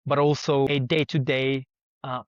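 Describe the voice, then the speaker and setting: A man's voice: mid-range voice, flat delivery, unhurried, smooth, no room sound. A young man from Sri Lanka whose first language is Sinhala, speaking as an interview guest in an earnest, reflective way and thinking aloud with rhetorical questions. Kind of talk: conversational interview. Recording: dull, dry lo-fi sound.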